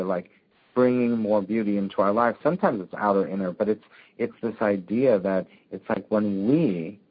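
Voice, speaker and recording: A man leading a spiritual discussion group, speaking in a gentle, encouraging way and often murmuring agreement. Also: on the low side. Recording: dull lo-fi sound.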